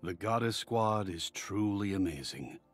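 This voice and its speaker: A man's voice: lowish voice, animated, a little slow, faint music behind. An actor voicing an earnest, admiring but cautious man in a video game, talking like an articulate storyteller. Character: earnest, admiring, cautious male fan of war heroes.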